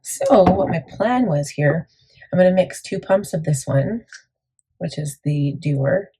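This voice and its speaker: Low husky-voiced woman chatting makeup. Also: animated, measured pace, warm tone. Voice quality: gravelly, deep. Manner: chatty, rambling, many fillers. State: morning voice, a bit crackly. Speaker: beauty vlogger. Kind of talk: casual makeup tutorial chat.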